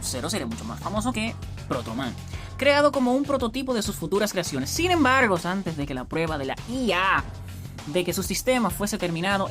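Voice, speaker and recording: Lively low-pitched woman explaining geek lore. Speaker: host of a geek culture show. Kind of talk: explanatory commentary on video game lore. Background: background music.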